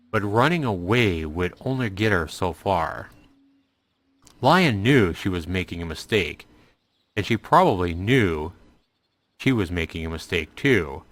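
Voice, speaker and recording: A man's voice: deep voice, a bit bright. A man narrating a story aloud in a dramatic storytelling style, as a host reading to viewers. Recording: music behind the voice. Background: music.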